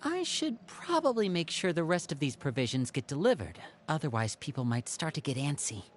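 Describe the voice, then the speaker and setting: Lively, courteous young man. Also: deep voice, a little fast, a little hiss or hum. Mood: genial, helpful. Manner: polite, smooth, welcoming. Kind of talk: video game voice acting.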